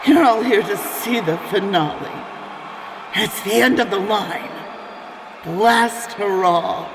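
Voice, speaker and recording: A woman's voice: medium pitch, slow. A woman playing a grim, defiant arena fighter in an audio drama, speaking through an arena microphone. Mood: grim defiance. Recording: reverberant, noisy arena microphone.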